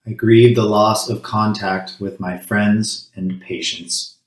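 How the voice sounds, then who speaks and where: A man leading a congregation through a solemn grief ritual, speaking in measured short phrases with pauses between them. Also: fairly low pitch, steady tone. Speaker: congregation worship leader. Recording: roomy, echoing sound.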